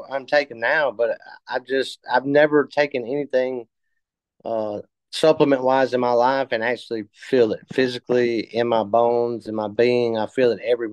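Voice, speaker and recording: A man of fifty telling his story in a casual interview, halting with many fillers and restarts. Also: mid-range voice, smooth, no echo, lo-fi sound. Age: 50s. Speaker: interview guest.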